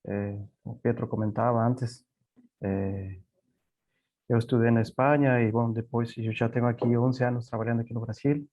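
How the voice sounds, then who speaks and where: A man from Peru speaking Portuguese with a Peruvian Spanish accent, giving a halting conference presentation over a video call, often repeating words and saying "eh". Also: medium pitch, a little mellow. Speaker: panelist, software company representative. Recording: dull lo-fi call audio.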